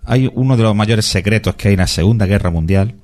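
A man hosts a talk show discussion, asking questions conversationally. His voice sits low in pitch. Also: a little fast, a little mellow, soft background music.

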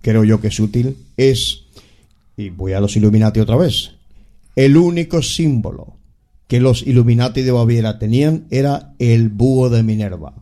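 A man with a Latin American Spanish accent lectures as a guest expert on a talk show. His voice is mellow and warm, with emphatic delivery and frequent restarts that repeat a word. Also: medium pitch, moderate pace.

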